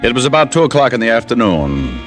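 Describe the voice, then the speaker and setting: An actor playing a wry, easygoing private detective in an old radio drama, narrating and talking in a dry, laid-back way. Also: male voice, medium pitch, big pitch swings, fast talker, close to an everyday voice. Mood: drowsy, content. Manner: dry, laid-back first-person storytelling. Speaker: actor in the lead role and narrator. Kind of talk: 1940s-50s radio detective drama acting. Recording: old radio recording with music behind.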